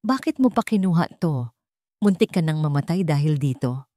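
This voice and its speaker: A woman's voice: sits low, lively intonation, moderate pace, dark tone, dry recording, clean studio sound. A woman voicing a wealthy businesswoman who speaks heartfelt and firm words in a storybook romance. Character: billionaire businesswoman, proud but tender in love.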